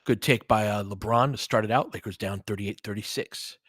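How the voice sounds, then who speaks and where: A man's voice: fairly low pitch, brisk, a bit bright, slightly rough, no echo, clean studio sound. A man giving casual live basketball commentary as a host, chatting informally with listeners and reacting like a fan.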